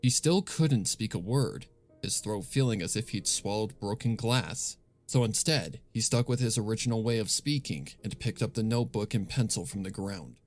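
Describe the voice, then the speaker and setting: A man reads dark fan fiction in a lowish, creaky voice. His scripted narration is grave and deliberate, set over faint music. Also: expressive. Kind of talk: scripted dramatic horror narration.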